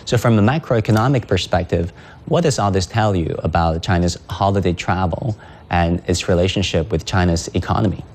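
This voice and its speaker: Man, very low voice, panel interview host, faint background ambience. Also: fairly quick, slight background noise.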